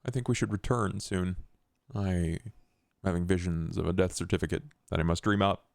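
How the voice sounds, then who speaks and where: A man plays an ambitious vampire aristocrat in a tabletop roleplay game. His voice is very low and gravelly, in a dry recording. He schemes in formal, measured, wordy phrases. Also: steady tone, no echo. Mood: calculating.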